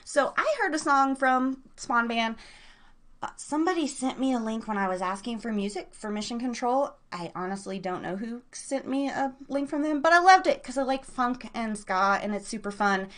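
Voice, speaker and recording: High-voiced woman hosting a casual live show. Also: lively intonation, moderate pace, a bit bright. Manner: informal, slangy, rambling, addresses listeners directly. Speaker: host of a live online radio show and podcast.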